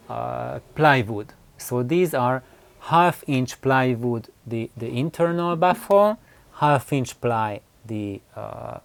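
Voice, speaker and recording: A speaker giving an informal, halting explainer as a DIY builder, rambling a little and correcting themselves along the way. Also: medium pitch. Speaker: DIY loudspeaker designer presenting own build.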